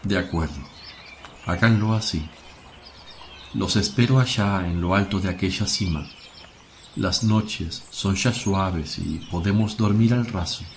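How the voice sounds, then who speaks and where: An actor playing a calm, solemn spiritual master with gentle authority in a religious radio drama. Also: male voice, sits low, a little slow. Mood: serene. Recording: faint noise, birds and crickets behind.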